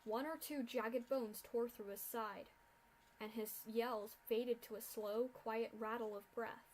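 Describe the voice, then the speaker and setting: A woman reading a fan fiction story aloud in an earnest, steady storytelling manner. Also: fairly high pitch, slow, a little hiss or hum, poor audio. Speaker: fanfiction reader.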